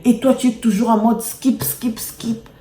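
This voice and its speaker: A woman's voice: a little high, level tone, unhurried, warm tone. A woman with a Congolese French accent addressing her subscribers in a casual vlog, emphatic, repetitive and playfully boastful. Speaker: YouTuber. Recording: echoey room with music behind. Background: music.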